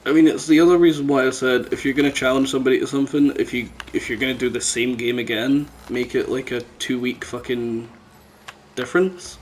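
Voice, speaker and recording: A man's voice: mid-range voice, steady tone, fairly quick, slight background noise, low-quality recording. A man chatting casually with friends as a podcast co-host, blunt, teasing and sweary. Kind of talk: casual gaming chat with friends.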